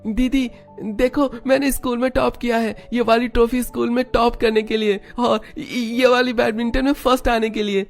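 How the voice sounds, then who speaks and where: Man putting on an excited high-pitched little boy. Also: normal speed, vocal fry, few pauses, soft background music. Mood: proud, excited. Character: proud young schoolboy, excited little brother.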